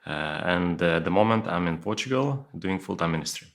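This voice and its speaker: Deep-voiced hesitant man from Lithuania. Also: animated, brisk. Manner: hesitant, many fillers and restarts. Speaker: guest sharing testimony. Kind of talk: personal testimony in an interview.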